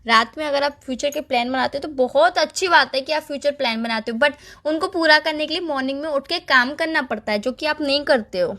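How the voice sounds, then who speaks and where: A woman's voice: high-pitched, a little fast, hardly any pauses, a bit bright. A young woman. She talks casually, as a girlfriend arguing on a call, and keeps piling up complaints in a nagging manner. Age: young adult. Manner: nagging, lists complaints at length. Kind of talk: casual relationship argument in call format.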